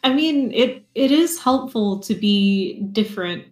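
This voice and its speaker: A woman with a soft voice, a streamer speaking as a guest in a casual interview, measured and thoughtful. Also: on the high side, animated. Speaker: podcast guest, full-time streamer. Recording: roomy echo.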